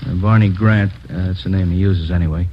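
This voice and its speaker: Fast-talking detective played by a male actor, clipped, lowish, on a muffled vintage radio recording. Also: fairly even intonation, slight background noise, natural voice. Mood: brisk. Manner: casual, clipped. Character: brisk, matter-of-fact male police detective. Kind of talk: 1950s radio drama acting.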